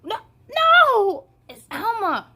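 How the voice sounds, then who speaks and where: A woman's voice: very high-pitched, very animated, slow pace, background noise, pitched above the performer's own voice, exaggerated voice. A woman voicing a bullying fear monster from a children's storybook, mocking and menacing in manner. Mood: whiny, panicked.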